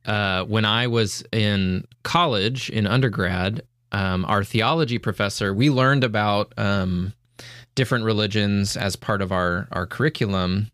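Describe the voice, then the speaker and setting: A man's voice: fairly low pitch, unhurried, fairly bright tone, clean tone, no echo, studio quality. A man from the United States, hosting a podcast and asking questions in a loose, friendly way with frequent laughs. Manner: friendly, laughs often, rambling. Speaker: podcast host. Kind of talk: casual interview.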